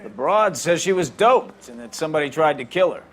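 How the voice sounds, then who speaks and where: An actor playing a brisk 1940s American police superior in a video game, giving clipped orders in hard-boiled slang. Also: male voice, a little high, animated, close to an everyday voice. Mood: brisk, wry.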